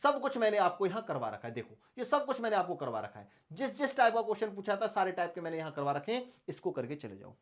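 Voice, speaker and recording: A man's voice: high voice, very animated, fast, hardly any pauses. Male coaching instructor. Directs students step by step, restates key points, addresses them fondly. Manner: addresses students affectionately, instructive, repeats points, asks rhetorical questions. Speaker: maths teacher for exam preparation. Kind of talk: online coaching lesson, strategy talk to students.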